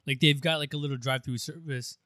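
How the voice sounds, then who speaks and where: A man co-hosting a podcast in loose, rambling casual chat, quick to laugh. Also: mid-range voice, fairly even intonation, sharp tone, no echo, clean studio sound. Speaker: podcast co-host.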